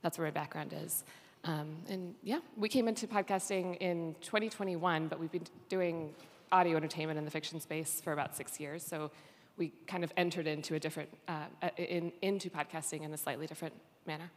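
A woman's voice: low voice, fairly even intonation, fairly quick. A woman speaks informally as an executive on a conference panel. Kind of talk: informal self-introduction on a panel. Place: on stage at a conference session. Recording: reverberant conference room sound.

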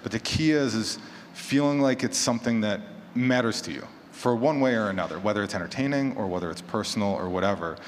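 A man's voice: fairly low pitch, brisk. A man speaking casually on a conference panel as a podcaster, conversational and full of hypothetical examples. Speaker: panelist, horror fiction podcaster. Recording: echoey hall, clear background noise.

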